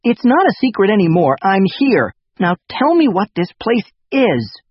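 A man voicing a fierce, angry young girl in an audiobook, sharp and demanding. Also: a little high, huge pitch swings, takes it slowly, slightly rough, no echo, dull audio, voice pushed up, heavily acted.

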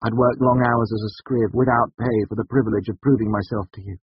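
A man's voice: lowish voice, steady tone, a little fast, breathy edge, no echo, highs cut off, voice pushed up. A man voicing an earnest, nervous young male student who speaks in a pleading, deferential way, in an audiobook. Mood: earnest, hopeful. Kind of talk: audiobook character dialogue.

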